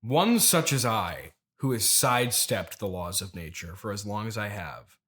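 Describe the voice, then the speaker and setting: A man playing a grandiose, evasive immortal turnip farmer and grieving mystic in an improvised comedy interview, speaking haltingly and pompously. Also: lowish voice, animated, fairly bright tone. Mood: grandiose, mournful.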